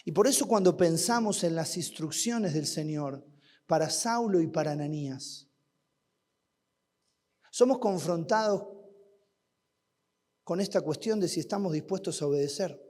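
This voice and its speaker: A man with an Argentine accent preaching a sermon at a youth camp, asking rhetorical questions and swinging between loud and hushed. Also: fairly high pitch, lively intonation, unhurried, pauses often. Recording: long hall reverb.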